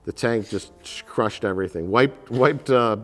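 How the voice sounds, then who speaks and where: A man's voice: medium pitch, measured pace, fairly bright tone, faint music behind. A man in his forties co-hosting a casual movie chat in a dim studio, rambling and laughing as he riffs. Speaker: co-host. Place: dim studio set with curtains.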